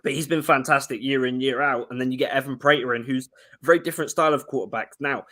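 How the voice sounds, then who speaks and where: Guest analyst: young man from England, eager and quick-talking about football, mid-pitch voice. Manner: fast, animated football breakdown with frequent restarts. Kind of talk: casual sports chat.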